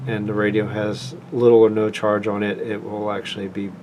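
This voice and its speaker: A man with a fairly low voice walks through a product in an informal review. He explains plainly and haltingly, without a script. A faint, steady hum of room noise sits behind him. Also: low-quality recording.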